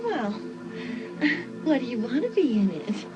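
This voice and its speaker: A bold, provocative teenage girl, acted by a woman in a dramatised audiobook. Her high voice takes its time and swings widely as she teases and goads. Music plays behind her. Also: very animated, measured pace, highs cut off. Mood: teasing, excited. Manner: teasing, provocative.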